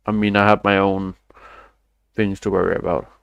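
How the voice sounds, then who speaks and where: Young guy yapping on stream, kinda low voice, swears a bit, dry mic. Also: level tone, moderate pace, vocal fry, a bit bright, clear, resonant tone, dry recording. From Denmark. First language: Danish. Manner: rambling, swears casually, self-deprecating. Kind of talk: casual stream chat.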